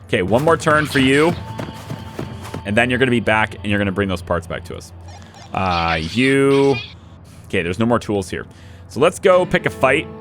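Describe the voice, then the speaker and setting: A young man hosting a let's play, chatting casually as he plays and talking to his units, a little hoarse from losing his voice. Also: medium pitch, sing-song, normal speed, long pauses, music in the background. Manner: chatty, thinks aloud, talks to his units. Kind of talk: casual gameplay commentary.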